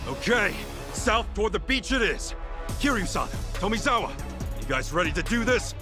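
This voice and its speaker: An actor playing an earnest, hot-headed, good-hearted middle-aged hero in an English-dubbed video game, expressive and informal. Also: male voice, sits high, normal speed, music in the background. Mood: determined. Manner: expressive, informal, exclaiming, swearing.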